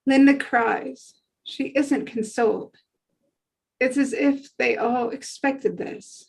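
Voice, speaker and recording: High-voiced woman reading stage directions. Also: slow, gravelly, a little mellow, husky, rough recording. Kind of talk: scripted narration at a table read.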